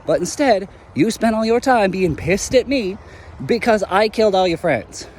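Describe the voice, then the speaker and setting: Earnest young former hero, voiced by a man, halting, fairly high, noisy outdoor recording with traffic. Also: expressive, darkish tone. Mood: reproachful. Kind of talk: audio drama acting.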